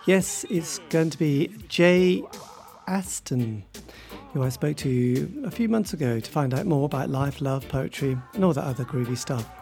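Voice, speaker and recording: A man's voice: a little high, measured pace, gravelly, mellow, faint music behind. A man, hosting a radio interview in a rambling, chatty way. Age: 50s. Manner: chatty, rambling with many sort-ofs. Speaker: radio show host. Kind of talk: casual interview chat.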